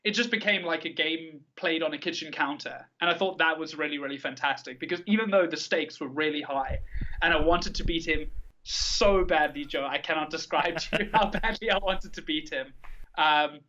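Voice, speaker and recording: A man's voice: on the high side, moderate pace, pushed and bright, dull audio. A South African man giving long, articulate, enthusiastic answers as a guest in a conversational interview, with a South African accent. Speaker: podcast guest, card game tournament player.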